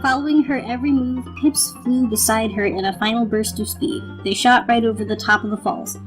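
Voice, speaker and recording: A woman's voice: fairly high pitch, steady tone, fairly quick, faint music behind. A woman reading as a storybook narrator in a dramatic, scripted style.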